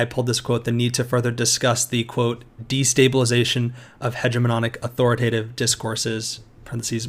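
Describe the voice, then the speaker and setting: A man hosts a podcast and puts measured, carefully phrased questions to his guest. His voice sits at an ordinary middle pitch, and he corrects himself here and there. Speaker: podcast host. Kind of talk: interview questions.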